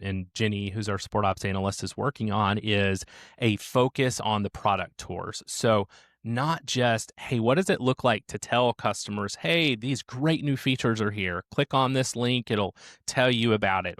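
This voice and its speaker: A man with a low voice answers interview questions in a measured, explanatory way. He sounds close and dry. He slows down whenever he stresses a point. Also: fairly bright tone, no echo. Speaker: support team leader, guest.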